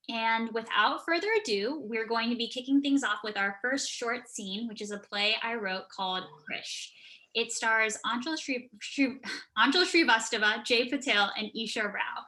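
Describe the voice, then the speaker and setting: A woman's voice: fairly high pitch, pushed and bright, rough recording. A woman co-hosting an online event and giving introductions over a video call. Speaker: event co-host. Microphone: video call microphone.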